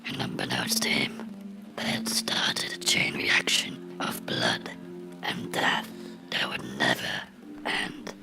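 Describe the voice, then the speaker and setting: A man voicing a bitter, menacing cartoon character in a slow, brooding horror ASMR monologue, whispering in a breathy, gravelly voice. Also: sits low, exaggerated voice. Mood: ominous, brooding. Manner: slow, deliberate, brooding storytelling with dramatic pauses. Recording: whispered with music underneath.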